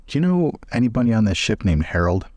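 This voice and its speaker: Casting: man as curious, probing scholar for tabletop fantasy game, deep natural voice, guarded and casual. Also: sing-song, quick pace, a little mellow, no echo.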